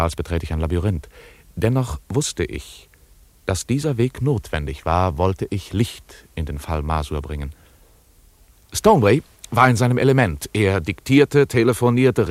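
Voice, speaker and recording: An actor playing an investigator who recalls his case in measured, literary first-person radio drama narration. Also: deep voice, darkish tone, no echo.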